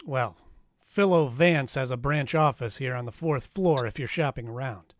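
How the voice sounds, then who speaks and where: An actor playing a wry, hardboiled private detective in a noir radio drama, casual, clipped and wisecracking. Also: male voice, medium pitch, normal speed, dry recording, clean studio sound, close to an everyday voice. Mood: dry, businesslike.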